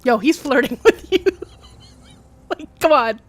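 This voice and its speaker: A woman's voice: high voice, lively intonation, measured pace, dry recording. A woman giving casual, playful live commentary as a game streamer, with wry asides.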